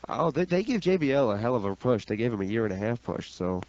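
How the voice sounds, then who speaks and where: A man's voice: medium pitch, big pitch swings, fast talker, a little mellow, a little hiss or hum. A man from New Jersey with an American accent, bantering in a dry, contrarian way as a radio co-host. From New Jersey, United States. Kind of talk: casual sparring talk radio banter. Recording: dull lo-fi radio audio.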